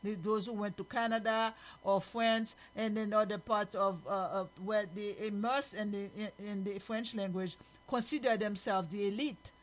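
A woman's voice: mid-range voice, steady tone, normal speed, few pauses, low-quality recording. A woman from Haiti with a Haitian accent, reading news aloud as a host and commenting on it in an emphatic, opinionated way.

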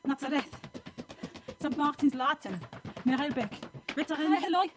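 Woman, very high voice, slow giggly list recital, crowd noise behind. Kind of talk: reciting lists of town names.

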